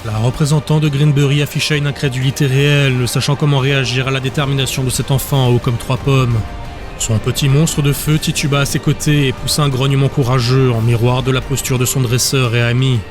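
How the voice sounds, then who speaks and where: Even-toned male audiobook narrator. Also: medium pitch, brisk, music in the background. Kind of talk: scripted dramatic fantasy audiobook narration.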